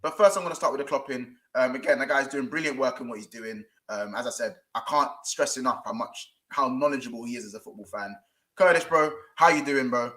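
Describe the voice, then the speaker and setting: A man hosting a casual football show, animated and slangy, often repeating himself. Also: medium pitch, fairly quick, roomy sound, low-quality recording. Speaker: host.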